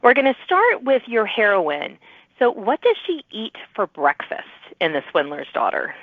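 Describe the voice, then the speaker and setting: A woman's voice: mid-range voice, wildly swooping pitch, normal speed, dry recording. A woman hosting a casual, chatty podcast interview with authors in an enthusiastic, encouraging manner. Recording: thin phone-like sound.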